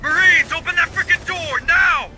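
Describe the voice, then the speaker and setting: An actor playing a tough, wry marine squad sergeant in a video game, talking tersely. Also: male voice, extremely high pitch, normal speed, over loud music. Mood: urgent. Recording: noisy game action behind.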